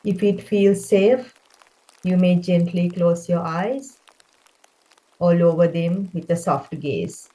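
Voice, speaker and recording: A woman guides a meditation with a very mellow, relaxed tone. Her voice sits a little low, and she speaks very slowly. The delivery is gentle and calming, leaving space between phrases. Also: poor audio.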